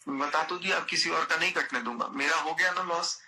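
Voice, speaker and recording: A man's voice: on the high side, fairly even intonation, fairly quick. A man in a personal phone conversation, speaking insistently and repeating his phrases. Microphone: phone call. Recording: recorded phone call with faint noise.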